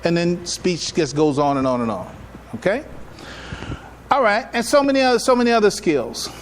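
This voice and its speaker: Male imam, high voice, emphatic teaching style with deliberate pauses and repeated phrases, slight live-recording noise. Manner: animated, emphatic, rhetorical questions and repetitions. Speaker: imam giving a public address. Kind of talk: religious lecture, teaching to an audience.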